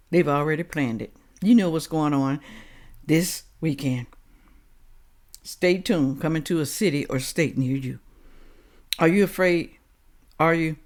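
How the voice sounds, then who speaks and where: A woman's voice: deep voice, darkish tone. An American woman giving opinionated, confrontational commentary straight to viewers, blunt and full of rhetorical questions. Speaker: host of a reaction commentary show.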